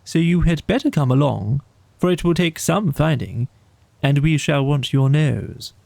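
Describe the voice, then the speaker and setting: A man's voice: on the high side, expressive, measured pace, vocal fry, a little mellow, no echo, exaggerated voice. A man voicing a kindly, hearty storybook water rat, cheerful and bossy as he encourages a friend. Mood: pleasant reassurance.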